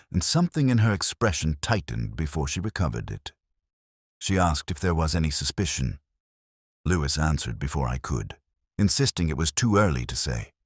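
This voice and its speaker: Very deep-voiced man narrating a detective story. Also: no room sound, clean studio sound. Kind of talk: scripted first-person detective narration.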